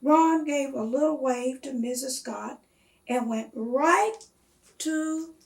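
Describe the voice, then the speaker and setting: A woman reading a children's story aloud in a measured, expressive storytelling manner. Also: high-pitched, creaky.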